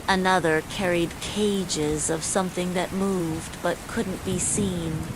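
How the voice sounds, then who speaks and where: A woman reading a scripted bedtime horror story in a measured, suspenseful way, as a storyteller. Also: on the low side. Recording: steady loud rain noise throughout. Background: falling rain.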